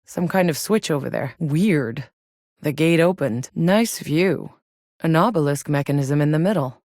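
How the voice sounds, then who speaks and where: Casting: hardened female sci-fi game soldier, lowish register, wry and blunt, very dry studio sound. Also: lively intonation, a little slow, a little mellow, no echo. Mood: matter-of-fact, focused. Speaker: video game protagonist. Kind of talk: video game voice lines.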